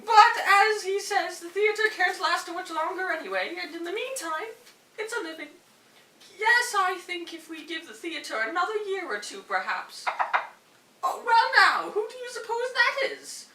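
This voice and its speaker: Woman doing a doting fussy old auntie, really high bright voice, roomy echo. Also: expressive, normal speed, heavily acted. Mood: chatty, reassuring. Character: kindly, fussy, doting old aunt Miss Abby. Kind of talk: stage comedy read-through. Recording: roomy echo, distant mic.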